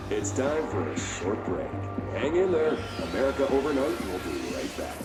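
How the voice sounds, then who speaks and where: Smooth late-night radio host, echoey. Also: male voice, medium pitch, huge pitch swings, moderate pace, loud music behind the voice. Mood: firm, brisk. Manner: polished, patronising, unflappable.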